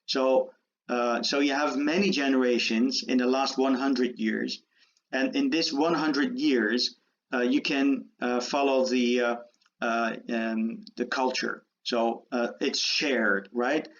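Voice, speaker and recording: A man born and raised in the Netherlands giving a university lecture, halting with many fillers and restarts. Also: medium pitch, fairly even intonation, fairly bright tone. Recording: dull lo-fi lecture audio.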